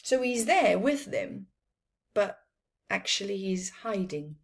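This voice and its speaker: Middle-aged female storyteller, very slow pace, mid pitch, expressive picture-book read-aloud for young children. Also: vocal fry, a little hiss or hum. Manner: deliberate, dramatic phrasing with emphasised words. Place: small plain room indoors.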